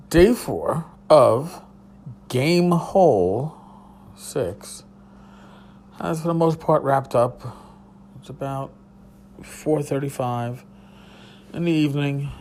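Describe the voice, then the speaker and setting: A tired man with a hoarse voice, hosting a casual solo podcast from a hotel room and rambling loosely with a bit of swearing. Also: medium pitch, darkish tone, slight background noise. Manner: rambling, profane, restarts. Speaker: podcast host.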